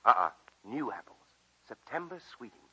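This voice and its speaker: An actor playing a plainspoken, teasing, devoted small-town grocer in a 1941 radio drama, with a folksy manner. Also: male voice, medium pitch, very animated, moderate pace, sharp tone, slightly rough, lo-fi sound, heavily acted. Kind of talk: 1940s radio drama acting. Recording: 1941 radio broadcast recording.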